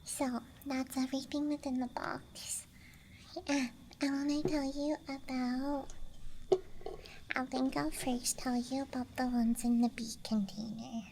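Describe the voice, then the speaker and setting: A woman whispering softly and breathily in a rambling, hesitant ASMR show-and-tell as a friendly dice goblin, close to the microphone. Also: high voice, flat delivery, a little slow, creaky, very noisy. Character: friendly dice goblin hoarding her treasures. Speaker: ASMR performer. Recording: close mic, audible ambient night sounds.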